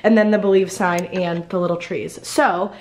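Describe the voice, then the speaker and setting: A woman's voice: lowish voice, fairly even intonation, moderate pace. A woman with a stuffy, congested nose, chatting upbeat as a lifestyle vlogger while decorating her apartment kitchen. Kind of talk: casual decorating vlog chat. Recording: roomy echo.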